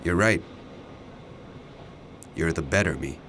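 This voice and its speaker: An actor in a radio drama playing a bitter, zealous older alternate self who makes intense, persuasive, grandiose speeches. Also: male voice, deep voice, takes it slowly, a bit bright, a little hiss or hum. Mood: insistent.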